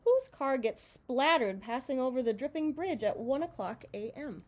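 A young woman reads a picture book aloud for children. Her voice is fairly high and swings widely in pitch, giving the narration a very expressive, dramatized delivery. She is recorded indoors at home. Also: normal speed. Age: young adult. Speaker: storybook reader.

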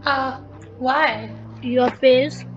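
A man faking a coy, high-voiced young woman. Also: fairly even intonation, very slow, a bit bright, lo-fi sound, faint music behind, heavily acted. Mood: coy teasing.

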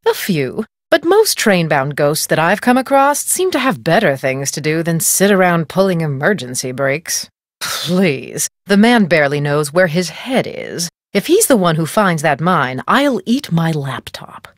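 A haughty middle-aged lady writer from a mystery game. Her voice sits a little low and swings widely in pitch, recorded close and dry. She is arch, gossipy and condescending, with a theatrical air. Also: big pitch swings, measured pace, dry recording. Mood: self-assured, arch. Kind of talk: video game character acting.

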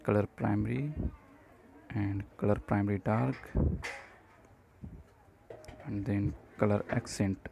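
Slow, low-voiced man narrating a tutorial. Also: fairly even intonation, gravelly, noisy background. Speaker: programming tutorial presenter. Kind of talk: step-by-step screen tutorial narration.

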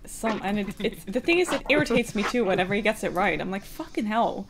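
A woman's voice: medium pitch, lively intonation, quick pace, over a noisy crowd. A young adult woman streaming a game, chatting casually and teasing her friends with lots of laughter. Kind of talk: casual gaming chat. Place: indoor room. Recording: clear background noise with clicks.

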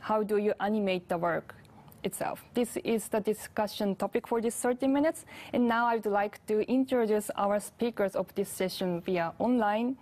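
Woman, thirties, Japanese accent, mid-pitched, formal host, careful measured delivery. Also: darkish tone. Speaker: festival host introducing a panel. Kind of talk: formal introduction. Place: indoor studio. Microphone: lapel mic.

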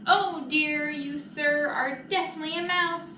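A woman's voice: high voice, fairly even intonation, a little slow. Woman putting on a big smug mocking tiger. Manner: loud, mocking, emphatic. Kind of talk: picture-book read-aloud. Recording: echoey room, distant mic, noisy.

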